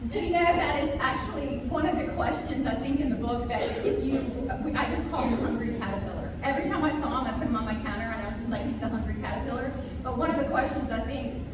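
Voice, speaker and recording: A woman's voice: a little high, quick pace, hardly any pauses. A woman, a children's author, reading aloud and presenting to a community group. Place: large echoey room before a live audience. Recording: very echoey noisy hall, distant mic.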